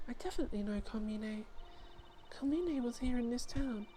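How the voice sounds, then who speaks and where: A woman's voice: on the high side, moderate pace, mellow, a little hiss or hum, close to an everyday voice. A woman voicing an anxious, confused young woman in a game fan dub, speaking hesitantly and earnestly. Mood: hesitant recall. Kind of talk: fan-dubbed game dialogue.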